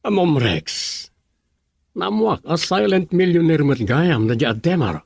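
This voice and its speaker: Gossipy male sidekick for radio drama, actor, higher voice, very lively delivery, sensible advice-giver. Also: measured pace, creaky, breathy edge, no room sound, clean studio sound, heavily acted. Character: gossipy, levelheaded male buddy giving advice. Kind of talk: Ilocano radio drama acting.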